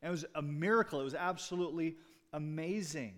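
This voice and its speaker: A man's voice: on the high side, fast talker, fairly bright tone. A man preaching a sermon as pastor, talking conversationally with asides and jokes to his congregation. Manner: restarts and fillers, speaking off the cuff.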